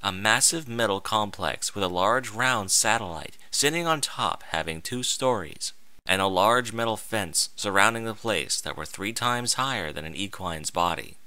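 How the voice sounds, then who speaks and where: A man's voice: fairly low pitch, animated, normal speed, pushed and bright, smooth, no room sound. A man narrating a scripted story in a theatrical, dramatic manner.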